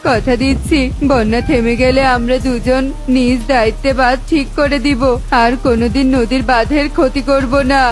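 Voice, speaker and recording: A woman's voice: high-pitched, steady tone, normal speed, hardly any pauses, over music, natural voice. A woman voicing a greedy, scheming bird in a children's cartoon. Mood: apologetic.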